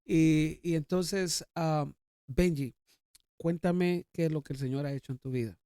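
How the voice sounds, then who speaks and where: A man's voice: on the high side, a little slow, warm tone, smooth, dry recording, studio quality. A Guatemalan man hosting a podcast, talking casually with a guest in an interview style. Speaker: podcast host.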